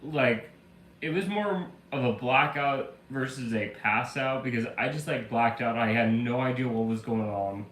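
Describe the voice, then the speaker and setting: A man's voice: medium pitch, unhurried, fairly bright tone. A man streaming from his room at home, his voice back after the flu but still carrying a slight cough. He talks casually and rambles, piling on fillers. The room sounds echoey, with a faint hum of ambience behind him. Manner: rambling storyteller, lots of "like" fillers. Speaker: live streamer. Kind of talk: casual live-stream chat.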